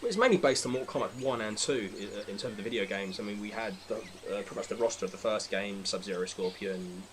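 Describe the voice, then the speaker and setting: Low-voiced man, casual podcast guest. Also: quick pace, hardly any pauses. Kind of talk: casual chat about films. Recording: noticeable background noise.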